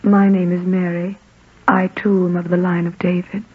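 An actress playing a gentle, weary, patient young wife in a 1940s radio drama, soft and tired. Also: female voice, on the low side, little pitch movement, moderate pace, breathy edge. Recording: thin, phone-like 1940s radio recording.

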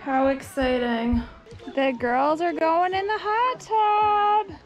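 A woman's voice: very high voice, fairly even intonation, takes it slowly, dull audio. A woman in her thirties with an American accent, chatting casually and cheerfully to a family vlog camera with gushing exclamations. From United States. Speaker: family vlogger and mother. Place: handheld family camera in car, house and yard. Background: background music.

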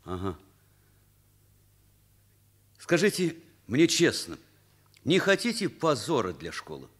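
An actor playing a sceptical, principled police officer with a dry, ironic, questioning manner, in a Soviet radio drama. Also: male voice, medium pitch, wildly swooping pitch, slow, husky, heavily acted.

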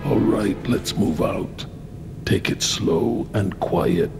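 A man playing a tough, stoic mercenary in a video game, with terse, gruff delivery. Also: on the low side, takes it slowly. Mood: guarded. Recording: loud film-style music behind.

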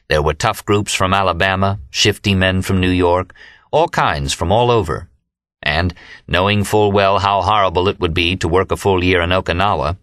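A man with a low-pitched American voice narrates a novel in the first person. The recording is dry and close, with very little room sound. He reads from a script, as an audiobook narrator does. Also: fairly bright tone, dry recording.

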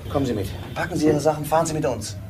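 Man acting blunt bitter detective in a crime radio play, talks fast, slightly high voice. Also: echoey room, long reverb tail, music in the background, close to an everyday voice. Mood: concerned, urgent. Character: defiant, bitter male investigator, blunt and urgent.

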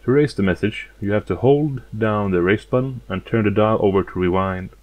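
A man's voice: fairly low pitch, a little fast, dull audio, a little hiss or hum. A man narrating a step-by-step demonstration in a plain, matter-of-fact manner. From outside the United States. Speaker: hobbyist demonstrating a vintage gadget.

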